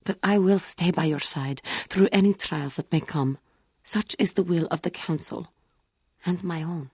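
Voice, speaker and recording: A woman playing an earnest, wounded alien warrior in a video game, speaking formally and gravely in measured phrases. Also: fairly low pitch, darkish tone, no echo, studio quality. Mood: hesitant, devoted. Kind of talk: video game character dialogue.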